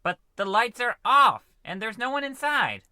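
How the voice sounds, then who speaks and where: An actor playing a put-upon, fussy science officer who defers and protests, in a scripted radio comedy. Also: male voice, very high voice, big pitch swings, moderate pace, bright, forceful tone, smooth, no room sound, studio quality, heavily acted. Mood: hesitant protest. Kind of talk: scripted old-time radio comedy acting.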